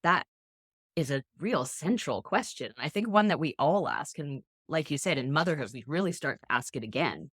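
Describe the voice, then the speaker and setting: A podcast host talks in a casual interview, affirming the guest and restarting sentences as thoughts form. The voice sits at an ordinary middle pitch. The recording is dry and close. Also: lively intonation, moderate pace, fairly bright tone, breathy edge, no echo. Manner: chatty, affirming, many restarts and fillers.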